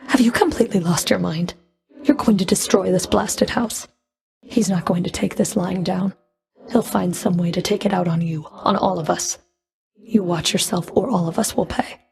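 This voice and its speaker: A woman's voice: medium pitch, creaky, a little mellow. A woman playing a stern, sardonic underworld fury in a video game, speaking in a cool, clipped, dry and commanding manner. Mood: urgent, reproachful. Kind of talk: video game character voice lines.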